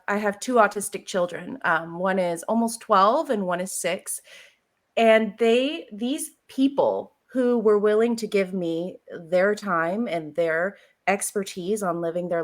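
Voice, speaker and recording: An American woman talks casually as a guest on a podcast. Her pitch sits in the middle, and she tells personal stories in an emphatic, animated way, dropping in joking asides and acting out little quotes. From Seattle area, United States. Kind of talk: casual conversational storytelling.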